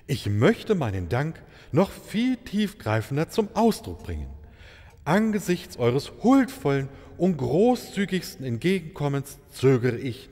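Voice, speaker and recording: A man's voice: a little high, a little mellow, breathy edge, heavily acted. A man playing a flowery, obsequious oriental prince in an audio play, with a pompous manner. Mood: effusive gratitude. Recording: reverberant hall-like sound.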